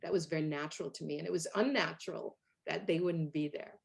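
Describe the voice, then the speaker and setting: Middle-aged woman, low voice, chatty interview guest, laughs often, from Hungary. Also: quick pace, vocal fry. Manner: animated storytelling with frequent fillers and laughs. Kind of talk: conversational interview.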